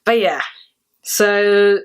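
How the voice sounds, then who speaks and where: A woman's voice: mid-range voice, very slow, smooth. A woman raised in Germany whose first language is Tamil, hosting her own channel and talking casually in a rambling video diary with lots of fillers. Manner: rambling, chatty, many fillers. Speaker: channel host.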